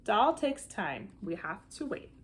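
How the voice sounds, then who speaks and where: A woman voicing an eager six-year-old boy in a storybook, with an excited, emphatic, childlike delivery. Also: medium pitch, takes it slowly, slight background noise. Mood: patient, insistent.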